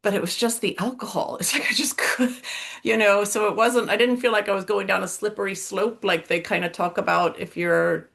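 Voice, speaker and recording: A middle-aged woman from Northern Ireland with an American-sounding accent, speaking candidly in an interview, halting, with many fillers and restarts. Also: medium pitch, steady tone, vocal fry, a bit bright. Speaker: coaching client.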